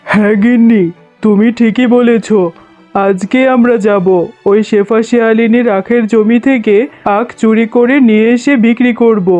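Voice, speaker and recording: A man's voice: very high voice, level tone, unhurried, a little mellow. A man voicing a jealous, scheming male tiger shopkeeper in a cartoon, indignant and full of complaints. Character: grumbling jealous male tiger sugarcane seller, scheming husband. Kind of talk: exaggerated cartoon voice acting. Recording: dull lo-fi sound, music behind.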